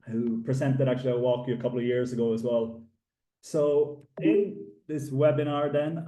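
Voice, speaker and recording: A man from Finland and Sweden giving a webinar presentation in a halting way, with frequent filler pauses. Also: medium pitch, warm tone. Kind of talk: slide presentation. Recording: echoey room, distant mic.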